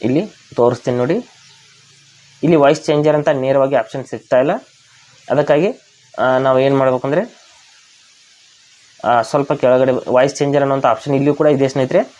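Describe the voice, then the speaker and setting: Indian guy walking thru an app tutorial step by step, talks fast, kinda dull lo-fi audio. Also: mid-range voice, fairly even intonation, darkish tone. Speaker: tech tutorial host. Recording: dull, lo-fi voice recording.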